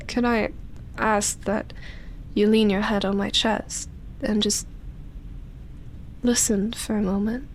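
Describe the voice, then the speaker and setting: An ancient vampire woman, played by a female voice actor, speaks very slowly and softly, often near a whisper. She is tender, lonely and seductive, pausing as if hesitant, in an intimate roleplay drama. Ambient noise sits clearly behind her voice. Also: medium pitch, little pitch movement, rough recording. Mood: wistful, sorrowful. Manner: slow, hesitant, sighing delivery with long pauses.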